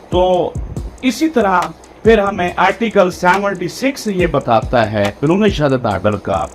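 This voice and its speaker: Slow-paced Pakistani man lecturing, lively pitch. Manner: didactic, repeats and rephrases key points; mixes in English legal terms. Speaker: law lecturer. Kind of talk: explanatory law lecture.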